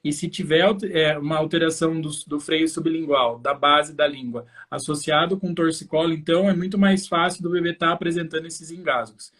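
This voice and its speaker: A Brazilian man with a slightly high voice hosts a live class for parents. He explains things fluently, step by step, and addresses his viewers directly. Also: fairly even intonation, a little fast, hardly any pauses, fairly bright tone. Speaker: host, infant osteopath. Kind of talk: live educational talk to parents.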